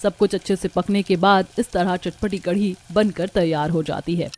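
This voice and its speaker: A woman's voice: on the low side, fairly even intonation, moderate pace, a little mellow. A woman narrating a scripted cartoon story.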